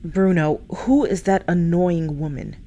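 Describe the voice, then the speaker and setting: A woman's voice: fairly low pitch, a little slow, warm tone. An actress playing a haughty, menacing female werewolf in a comedy audio drama, cool and disdainful.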